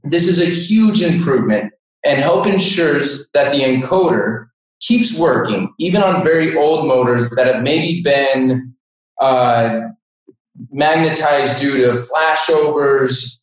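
A very roomy, distant recording of an American man in his twenties. His voice sits at a medium pitch as he explains things like a sales engineer in an interview. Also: measured pace. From Denver, Colorado, United States. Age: 20s. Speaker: sales engineer, podcast guest. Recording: echoey room, distant microphone.

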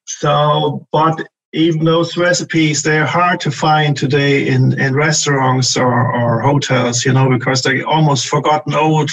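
Podcast guest: mature man, gravelly and husky, hesitant conversational delivery. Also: medium pitch, rough recording. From northern Germany. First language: German. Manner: hesitant, often searching for words. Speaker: podcast guest, business development manager. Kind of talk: casual interview chat.

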